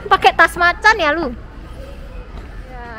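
A woman's voice: very high-pitched, lively intonation, slow pace, pushed and bright, a faint crowd. An Indonesian woman chatting casually on the go as she films her family with a handheld camera. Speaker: vlogger filming her family. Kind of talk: casual on-the-go chat. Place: outdoors at roadside stall and hotel grounds. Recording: noisy outdoor recording, people and vehicles.

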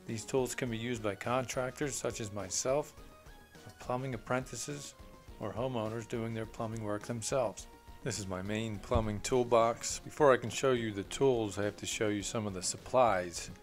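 A man with a fairly low voice talks through his plumbing tools off camera, recorded on a handheld camera indoors. He speaks plainly and without hurry, often pausing on "uh". Faint music sits under his voice. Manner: plain, unhurried, with frequent "uh" fillers. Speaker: plumbing contractor. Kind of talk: off-camera tool walkthrough.

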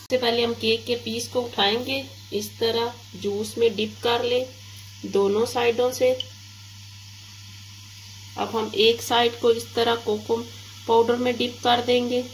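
A woman's voice: on the high side, flat delivery, measured pace, poor audio. A woman with a Pakistani Urdu accent, hosting a home cooking channel and talking viewers through a recipe step by step. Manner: step-by-step, addresses viewers directly. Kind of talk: home cooking tutorial voice-over. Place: home kitchen. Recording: hissy home recording.

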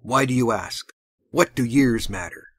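A man's voice: medium pitch, measured pace, slightly rough, no echo, studio quality. A man voicing a vengeful, thick-set sailor in an audiobook, blunt and growling with menace. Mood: menacing, angry.

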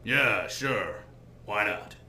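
A man playing a sarcastic, exasperated green alien warrior in an anime parody, with a gravelly, dry delivery. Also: lowish voice, expressive, extremely slow. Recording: echoey, noisy playback in a room.

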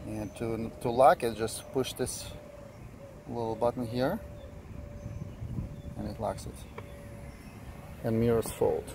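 A Russian-accented man in his forties talks enthusiastically through a car's features, stopping often for fillers and restarts. His voice sits at an ordinary middle pitch and is picked up by a phone held at arm's length inside a parked car. A steady air-conditioning hum is clearly heard behind him. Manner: enthusiastic, halting with many fillers. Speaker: car reviewer. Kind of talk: casual car walkaround vlog. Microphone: phone camera at arm's length.

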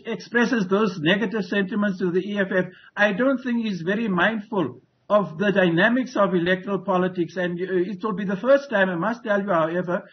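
An older South African analyst speaks in a high voice, assertive and emphatic, tripping over restarts as he argues. The sound is muffled and dull, coming through a video call from a room at home. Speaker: political analyst. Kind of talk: live news analysis. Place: home room with wooden ceiling.

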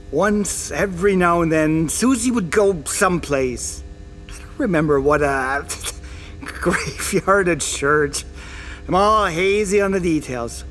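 A man's voice: a little high, takes it slowly, long pauses, a bit bright, a little hiss or hum. A man playing a crude, unrepentant middle-aged drunkard father in an audio drama, flippant, hesitant and foul-mouthed. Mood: rambling, defensive.

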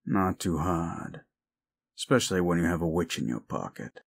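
A man playing a jaded, reclusive werewolf in an audio roleplay drama, gruff, curt and sardonic. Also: low voice, expressive, normal speed, heavily acted. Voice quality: gruff, gravelly.

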